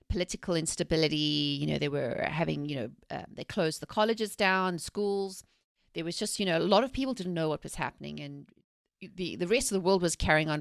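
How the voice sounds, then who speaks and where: Low-voiced South African woman guest. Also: vocal fry, no room sound, studio quality. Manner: energetic, rambling with restarts and laughs. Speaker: guest, mindset coach. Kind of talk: casual interview storytelling.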